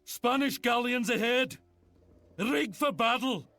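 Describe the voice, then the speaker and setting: An actor playing a gruff, earnest knight and ship captain in a video game cutscene, resolute and forceful, with music behind. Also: male voice, mid-range voice, normal speed, dry recording. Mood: urgent, defiant.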